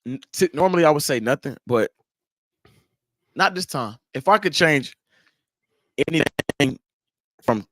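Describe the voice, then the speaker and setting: A man's voice: on the high side, animated, measured pace, pauses often, a bit bright, dry recording. Laid-back young man hosting a podcast. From Haiti. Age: 20s. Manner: laid-back, slangy, laughs often. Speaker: podcast host. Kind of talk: casual chat.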